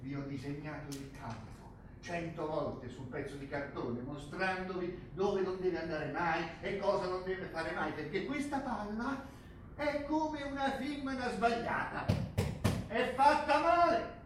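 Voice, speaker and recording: A tough rugby coach barking at his team, swears a lot, high pitched, way off mic on a stage. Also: unhurried. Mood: stern, rousing. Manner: foul-mouthed, barking, haranguing. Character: coarse, hard-driving male rugby coach rousing his team. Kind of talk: theatrical stage acting. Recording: distant, very echoey, faintly noisy stage recording.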